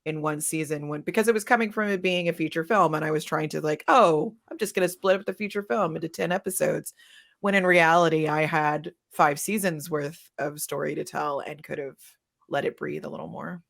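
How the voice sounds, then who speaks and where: A woman speaks reflectively as a panelist and writer. Her voice sits low for a woman, with a gravelly creak running through it. The delivery is conversational. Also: a little fast, dry recording, studio quality.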